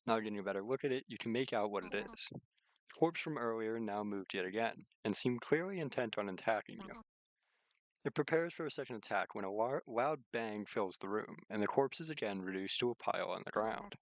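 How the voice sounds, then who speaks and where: A man's voice: lowish voice, lively intonation, fairly quick, no room sound. A man reading a visual novel aloud as a streamer, mixing narration with casual commentary.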